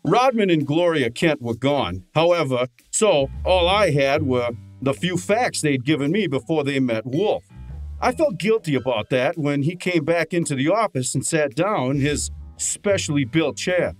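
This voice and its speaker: Male actor as cheeky wisecracking gumshoe assistant; animated, fairly high voice, radio drama, music faintly behind. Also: unhurried. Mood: wry narration. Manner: brash, wisecracking, informal. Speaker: actor and narrator in an audio detective drama. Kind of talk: old time radio style acting and narration.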